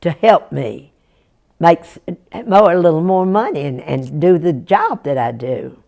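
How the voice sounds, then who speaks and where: A woman's voice: sits low, expressive, measured pace, creaky, warm tone, full character voice. A woman storyteller voicing a kindly middle-aged country storekeeper with a folksy drawl.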